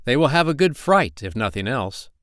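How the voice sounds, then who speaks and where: A man voicing a wise old male firefly who lays out a plan in a deliberate, plotting tone. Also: mid-range voice, very animated, fairly bright tone, dry recording, clean studio sound, pitched above the performer's own voice. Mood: scheming.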